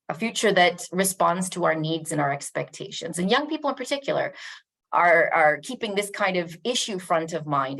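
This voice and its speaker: A woman from Canada who works in a university's international office, giving a measured webinar presentation with frequent ums and uhs. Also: on the low side, gravelly, fairly bright tone.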